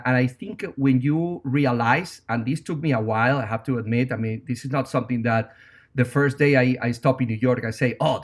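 A man from Venezuela with a Venezuelan Spanish accent, a native Spanish speaker, talking earnestly and reflectively as an interview guest. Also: medium pitch.

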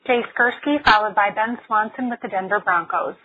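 A woman's voice: medium pitch, normal speed. A woman moderating a conference call with brief procedural announcements that introduce each questioner. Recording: tinny phone line, faint noise.

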